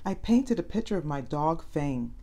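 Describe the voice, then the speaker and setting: A woman's voice: deep voice, very animated, normal speed, warm tone, exaggerated voice. Woman reading as an earnest little storybook girl.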